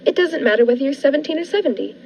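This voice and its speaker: A woman playing a shy, excitable spinster of forty in a 1940s-style radio drama, earnest and eager. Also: high-pitched, racing, a little hiss or hum. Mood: wistful excitement. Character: naive, excitable, shy forty-year-old spinster diarist. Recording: dull lo-fi with music behind.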